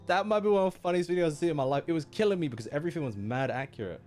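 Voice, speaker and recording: A man's voice: on the high side, animated, racing, darkish tone. Casual, slangy male game streamer. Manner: slangy, informal, chatty. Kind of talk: casual live game reaction chat. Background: faint game music.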